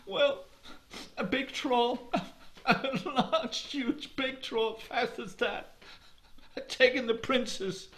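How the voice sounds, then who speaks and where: A man's voice: very high voice, slow, pauses often, fairly bright tone, voice pushed up, heavily acted. A man voicing weeping townsfolk who wail about a lost princess. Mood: sobbing. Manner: wailing, tearful. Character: grieving townsfolk, weeping adults.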